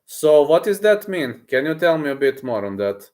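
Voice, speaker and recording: A man, a streamer chatting casually with his viewers, boastful and excitable, often repeating himself. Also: a little high, moderate pace. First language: Serbian. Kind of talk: casual livestream chat, reading messages aloud.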